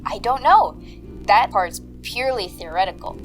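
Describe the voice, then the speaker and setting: A woman's voice: mid-range voice, animated, moderate pace, faint music behind, lightly acted, low-quality recording. A woman playing an analytical, unflappable paranormal investigator in an audio drama, speaking in a methodical, precise way. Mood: thoughtful, determined. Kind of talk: audio drama dialogue, measured theorizing.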